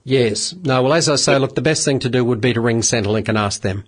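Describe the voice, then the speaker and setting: A middle-aged Australian man hosting a radio phone-in legal advice show, measured and polite, with many filler sounds. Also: mid-range voice. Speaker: radio phone-in host, solicitor. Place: radio studio.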